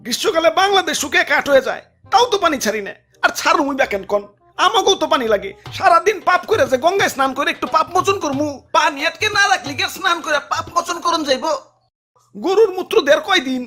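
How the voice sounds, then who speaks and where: Cartoon villain: boastful, selfish dam keeper, mock-generous, high quick voice. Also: male voice, expressive, pushed and bright, exaggerated voice. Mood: gloating. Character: gloating, selfish male dam keeper hoarding river water. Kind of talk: comic cartoon acting.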